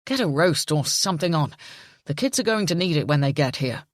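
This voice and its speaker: A woman reading a panicked father, giving him an urgent, shaky, lowered voice. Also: very animated, brisk, dry recording, clean studio sound. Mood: angry. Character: frantic grown man, a panicked father.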